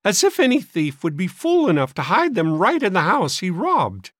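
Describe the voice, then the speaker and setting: A man voicing a sour, scornful old maiden sister in an audiobook, with a high, cracked voice. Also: huge pitch swings, moderate pace, a bit bright, no room sound, clean studio sound, full character voice. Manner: sniffing, scornful, scolding. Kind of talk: audiobook character voice.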